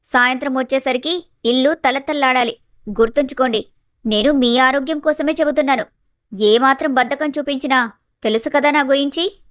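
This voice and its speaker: A woman playing a sly, sweetly bossy young daughter-in-law in an animated family story. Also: sits high, normal speed, no room sound, studio quality. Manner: mock-sweet, solicitous.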